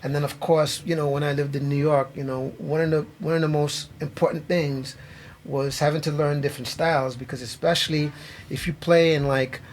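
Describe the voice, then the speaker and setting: A middle-aged man from Trinidad and Tobago talks loosely about his years as a drummer, tripping over his words and laughing easily. His voice sits fairly high for a man, with a faint hum of room sound behind him. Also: steady tone, fairly quick. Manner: rambling, many restarts, laughs easily. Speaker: drummer interviewed by bandleader. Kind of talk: casual interview chat.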